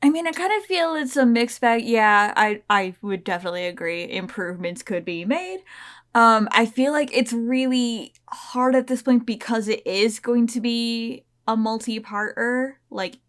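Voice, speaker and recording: A woman's voice: fairly high pitch, moderate pace, a bit bright. A woman in a casual podcast discussion, thinking aloud in a tentative, rambling way. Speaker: podcast panelist.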